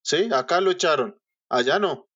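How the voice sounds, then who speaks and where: A man's voice: a little high, expressive, dull audio, over a noisy crowd. A young adult man, asking questions and teasing the others in a casual chat. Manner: teasing, quick jokes.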